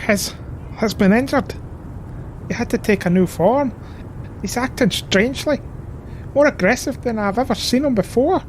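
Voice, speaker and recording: A woman's voice: fairly high pitch, normal speed, pauses often, slight background noise, lightly acted. A woman playing a nervous female underling stammering out a report in an audio drama. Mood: anxious. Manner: anxious, stammering.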